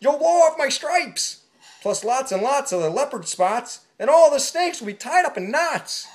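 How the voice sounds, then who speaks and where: A woman's voice: high voice, sing-song, moderate pace. A woman voicing an alarmed zebra in a storybook, yelping in rhyme.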